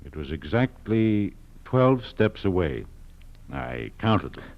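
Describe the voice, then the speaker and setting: A tinny old radio recording of an earnest former captive. His voice is low but rises and falls widely, halting and deliberate as in a vintage radio drama. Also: big pitch swings, creaky, exaggerated voice. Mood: careful, earnest. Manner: hesitant, deliberate. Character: earnest kidnap victim carefully recalling details to an agent. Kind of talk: 1940s radio acting.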